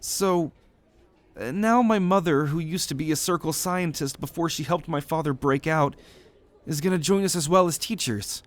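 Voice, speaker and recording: A man's voice: fairly high pitch, moderate pace, voice pushed up, close to an everyday voice. A man voicing an earnest, optimistic young demigod student with a hesitant, reassuring manner in an ASMR roleplay audio drama. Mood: hopeful, hesitant.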